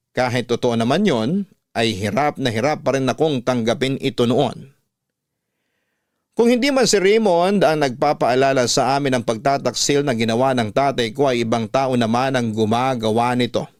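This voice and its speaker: A man with a Filipino accent reading a personal story as a radio host, in measured, sentimental dramatic narration. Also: mid-range voice, no echo, clean studio sound. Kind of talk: dramatic scripted narration of a letter.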